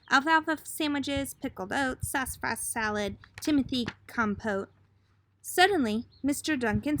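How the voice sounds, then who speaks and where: A woman reading a children's picture book aloud expressively, in the style of a teacher addressing young listeners. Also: high voice. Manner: expressive, pausing to put questions to young listeners. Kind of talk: children's storybook read-aloud.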